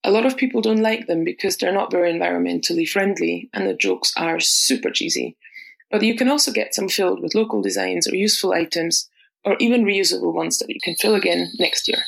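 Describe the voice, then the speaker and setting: Brief: woman, heavy gravelly fry, steady read-aloud contributor message. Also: medium pitch, fairly quick, rough recording. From Austria. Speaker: podcast contributor. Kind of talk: scripted voice message.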